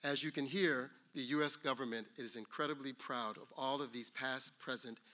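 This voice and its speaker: Bright-voiced man giving a formal announcement. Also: mid-range voice, fairly even intonation, normal speed. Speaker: government official making an announcement. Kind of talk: formal prepared speech.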